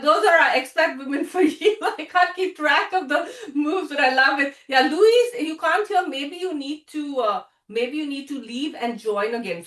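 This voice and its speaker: A woman hosting an online event over a video call, chatty and quick to laugh, often restarting her sentences. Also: sits high, a bit bright. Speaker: host and founder. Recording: echoey room, distant from mic.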